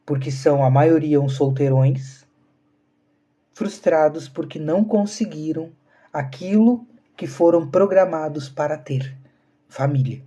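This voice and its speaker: A man's voice: a little high, a little slow, mellow, breathy edge. A man, talking earnestly to viewers on a live stream and asking rhetorical questions. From southern Brazil. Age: 30s. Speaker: spiritual channel host.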